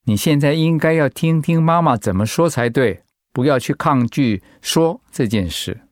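A man playing a steady, devoted middle-aged husband and father in a radio drama, speaking in an earnest, composed way. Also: a little high, normal speed, no echo, studio quality, lightly acted. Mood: firm. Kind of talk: Mandarin radio drama acting.

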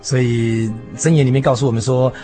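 A man with a Taiwanese Mandarin accent giving conversational religious commentary as a guest preacher, building thoughts in short, halting phrases. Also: mid-range voice, fairly even intonation, mellow. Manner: halting, phrase by phrase with many sentence-ending particles. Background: background music.

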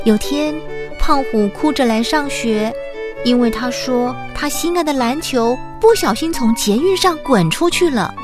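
A woman narrating a children's school radio play in Taiwanese Mandarin, expressive and addressed to young listeners. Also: high voice, measured pace. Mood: gentle storytelling. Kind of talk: scripted children's story narration. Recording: music behind the voice.

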